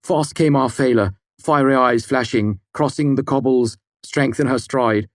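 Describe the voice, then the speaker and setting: A man's voice: medium pitch, a little slow, no echo, studio quality, voice pushed up, lightly acted. A man voicing a shy, bookish young man who talks earnestly. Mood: sonorous recital. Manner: earnest, slightly flustered.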